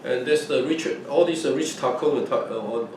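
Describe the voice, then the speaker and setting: A man's voice: medium pitch, darkish tone. Older guy from hong kong, first language fujianese, stop-start talk, really echoey room, off mic. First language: Fujianese (Minnan). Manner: halting, many restarts and repeated words. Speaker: oral history interviewee. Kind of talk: casual recollection. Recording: echoey room, mic at a distance, faint noise.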